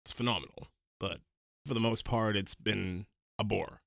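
Blunt low-voiced man, podcast co-host. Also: normal speed, vocal fry, a bit bright, no room sound, clean studio sound. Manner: blunt, opinionated, emphatic repeats. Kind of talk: casual review chat.

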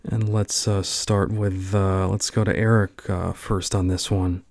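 A male podcast host leads a roundtable with students. His voice is low and flat, recorded dry and close. He speaks in a friendly way, with many fillers. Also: a little slow, vocal fry, no echo. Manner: friendly, filler-heavy interviewer. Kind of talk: hosting a roundtable interview.

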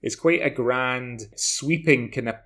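A man in his forties with a Glaswegian Scottish accent, chatting casually as a podcast co-host in a rambling, halting way. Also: medium pitch, bright, forceful tone. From Glasgow, Scotland. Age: 40s. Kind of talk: casual discussion of television.

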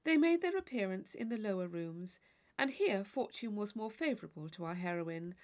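Animated woman reading a classic novel. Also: medium pitch, normal speed. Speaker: audiobook reader. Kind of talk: scripted literary narration.

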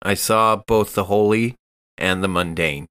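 A man playing a solemn, earnest companion in a tabletop game, speaking in a measured, deliberate way. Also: sits low, fairly bright tone, clean tone, no echo, clean studio sound. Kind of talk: improvised tabletop role-play.

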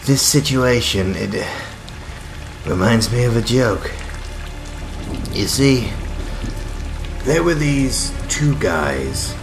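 An unhinged comic-book villain in an audio drama. He is theatrical and halting, darkly amused yet melancholy, with pitch that swings wildly. Music and rain sit clearly behind the voice. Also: expressive. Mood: wry storytelling. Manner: halting, theatrical, erratic swoops in delivery. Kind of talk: superhero audio drama monologue.

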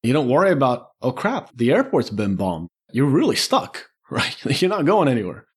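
A man's voice: mid-range voice, very animated, normal speed, husky. A man in his forties, a podcast guest casually telling personal stories in an informal way, acting out remembered thoughts as he goes. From Lebanon, Czech Republic and the UAE. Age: 40s.

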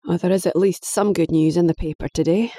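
A woman's voice: lowish voice, steady tone, a little fast, warm tone, no room sound, studio quality, close to an everyday voice. A woman voicing a stern, weary middle-aged father, lecturing patiently but firmly.

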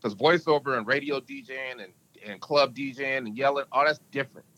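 A man talks through stories in an animated, emphatic way, with a bright, forceful voice. His pitch sits in a middle range, and he can drop it deep when he wants to. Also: a little fast, muffled recording. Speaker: podcast guest. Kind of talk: casual interview talk.

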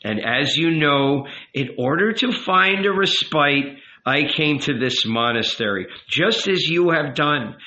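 High, pleading old rabbi played by a man. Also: expressive, measured pace, hardly any pauses, pushed and bright. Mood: wistful confiding. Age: older. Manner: earnest, coaxing, emphatic. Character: old rabbi uncle, tender, pleading, gently commanding confessor. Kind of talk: dramatic audiobook character reading. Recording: roomy, echoing, slightly dull sound.

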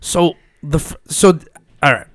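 A man's voice: a little high, unhurried, fairly bright tone, dry recording. A man with a New York accent hosting a casual podcast, talking loosely with lots of fillers. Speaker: podcast host. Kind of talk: casual chat.

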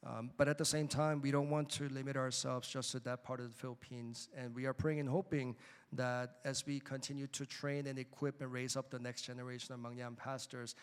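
A man speaking in a measured, hesitant way with frequent fillers as a missionary at a church mission presentation. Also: mid-range voice, level tone, smooth. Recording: long hall reverb.

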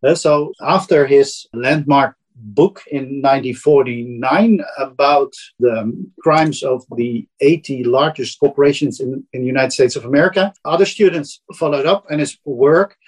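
A man's voice: mid-range voice, moderate pace, few pauses, breathy edge, poor audio. A middle-aged man with a Dutch accent, a professor explaining a topic as an interview guest, thoughtful and dotting his sentences with "eh?". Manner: thoughtful, with tag "eh?" and restarts.

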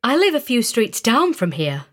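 A woman playing a quiet, uneasy young girl in an audio story, speaking in a hushed, matter-of-fact way. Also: a little high, wildly swooping pitch, normal speed. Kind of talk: audio story character acting.

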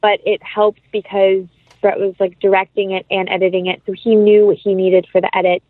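A woman's voice: medium pitch, little pitch movement, a little fast, no room sound. A woman giving a casual interview as an actress, chatting informally with plenty of "like" and "you know". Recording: phone-like narrow-band line, dry.

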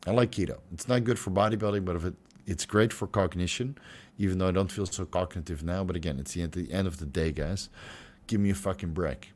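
A young man hosting a casual livestream Q&A, joking and swearing freely, with his voice turning hoarse and stuffy. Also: sits low, fast, a little mellow. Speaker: host answering viewer questions.

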